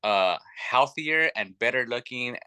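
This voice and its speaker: A man's voice: medium pitch, expressive, unhurried, sharp tone, smooth, dry recording. A man in his thirties, a guest telling his family story in an earnest, chatty way with many fillers. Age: 30s. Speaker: podcast guest. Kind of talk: casual chat.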